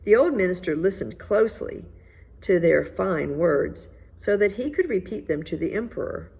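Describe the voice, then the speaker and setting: A woman reading a children's fairy tale aloud in an expressive storytelling style. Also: fairly low pitch, moderate pace, creaky, husky. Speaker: storybook reader.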